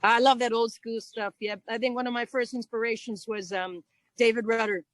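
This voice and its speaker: A woman from the United States, a guest musician, chatting casually in a relaxed way with frequent ums, outdoors on a call. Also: fairly high pitch, moderate pace, no room sound. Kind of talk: casual interview chat.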